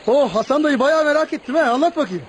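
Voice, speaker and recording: An actor playing a gentleman hunter who speaks colloquially and eagerly in a Turkish radio play. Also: very high voice, expressive, quick pace. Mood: curious. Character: tired, curious gentleman hunter from town. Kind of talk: Turkish radio theatre acting. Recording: noisy radio drama recording, outdoor ambience.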